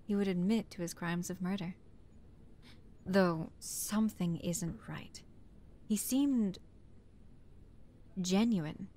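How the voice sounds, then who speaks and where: A woman's voice: lowish voice, darkish tone. A woman playing a commanding, sardonic chief detective in a scripted audio roleplay, brusque and relentless. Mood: musing, relaxed. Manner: brusque, commanding, swearing interrogator. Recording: clear background noise.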